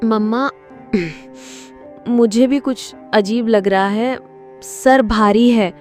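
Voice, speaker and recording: High, plaintive sick baby bird voiced by a woman. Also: takes it slowly, darkish tone, faint music behind. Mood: feverish, plaintive. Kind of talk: Hindi children's cartoon voice acting.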